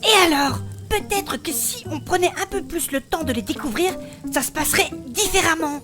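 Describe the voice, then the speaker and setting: A woman plays a young fantasy adventurer with an extremely high voice. She is earnest, chatty and insistent, an idealist in a comic audio drama, with music playing behind her. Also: expressive, moderate pace. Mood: indignant.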